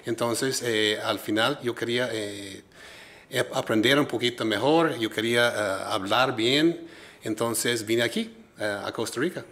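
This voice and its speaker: A man's voice: mid-range voice, unhurried, fairly bright tone. A man from Minnesota with an American English accent, middle-aged, speaking Spanish as a second language and answering interview questions hesitantly with many fillers. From United States, Minnesota. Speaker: guest, entrepreneur.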